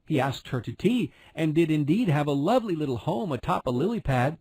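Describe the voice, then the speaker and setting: A man's voice: on the high side, expressive, brisk, darkish tone, slightly rough, no echo. A man narrating a fairy tale as a scripted storyteller.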